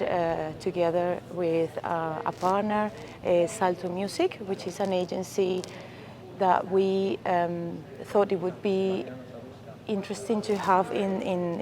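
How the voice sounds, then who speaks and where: Hesitant Venezuelan woman over crowd chatter. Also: lowish voice. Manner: hesitant, many fillers and restarts. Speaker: music booking agency director. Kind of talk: self-introduction to camera, unscripted. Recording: crowd chatter behind her.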